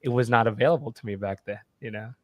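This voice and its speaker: A man's voice: medium pitch, fast, dry recording. A man in his twenties from Indonesia, English his second language, chatting casually as a podcast guest with many fillers and restarts. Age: 20s. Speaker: guest, marketing agency owner.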